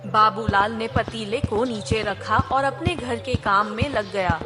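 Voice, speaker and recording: A woman's voice: fairly high pitch, unhurried, music in the background. A woman narrating a scripted children's moral story as the storyteller.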